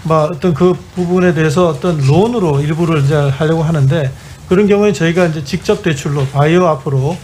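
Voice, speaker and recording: Halting higher-voiced man testifying, mellow tone. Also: a little hiss or hum. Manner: hesitant, halting explanation. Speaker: bank chief executive testifying to parliament. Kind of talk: formal testimony, answering questions. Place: parliamentary hearing room.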